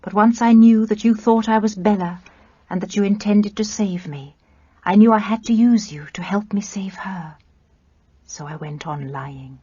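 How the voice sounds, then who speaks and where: A woman's voice: mid-range voice, moderate pace, mellow, breathy edge, dry recording, highs cut off, lightly acted. A woman playing a weary young confessor. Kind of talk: radio play acting.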